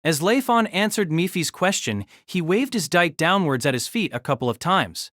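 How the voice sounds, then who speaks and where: A narrator telling a fan audio drama story in an expressive storytelling manner. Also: mid-range voice, moderate pace, no echo, studio quality.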